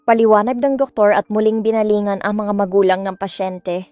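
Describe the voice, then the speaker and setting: A woman's voice: medium pitch, little pitch movement, brisk, darkish tone, dry recording. A woman narrating a scripted first-person drama story, with music behind her. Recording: music bed under the voice.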